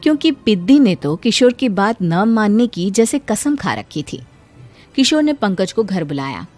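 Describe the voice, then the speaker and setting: A woman's voice: medium pitch, very animated, a little slow, a little mellow, faint music behind. A woman narrating a scripted cartoon story as a storyteller.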